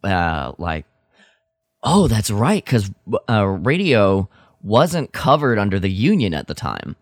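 Animated low-voiced man, podcast chat. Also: slow, no room sound, studio quality. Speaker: podcast co-host. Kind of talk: casual chat.

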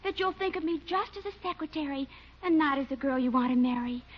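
An actress playing a sweet, naive young secretary in a 1940s radio sitcom, talking in an earnest, sincere way. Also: female voice, sits high, fast, close to an everyday voice. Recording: muffled 1940s radio broadcast.

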